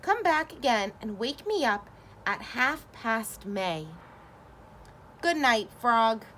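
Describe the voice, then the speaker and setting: A woman voicing a sleepy, grumpy male toad in a storybook, in a lower, grumbling voice. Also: very animated, slow pace, bright, forceful tone, a little hiss or hum, full character voice, poor audio. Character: sleepy grumpy male toad who wants to stay in bed.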